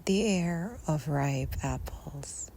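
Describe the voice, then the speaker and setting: A woman softly guiding a meditation without hurry, with long pauses and breath at the ends of her phrases. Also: deep voice, lively intonation, creaky. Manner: soft, unhurried, with long pauses. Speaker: meditation guide. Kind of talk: guided Yoga Nidra meditation. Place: outdoors in nature. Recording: outdoor recording with faint ambient noise. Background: faint natural ambience.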